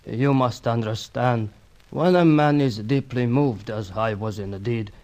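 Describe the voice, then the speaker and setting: A man's voice: mid-range voice, unhurried, darkish tone, close to an everyday voice. Man acting a dying, remorseful villain. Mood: despairing confession. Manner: formal, solemn, resigned phrasing. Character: dying, lovestruck South American club owner confessing his sins. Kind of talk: old-time radio melodrama acting.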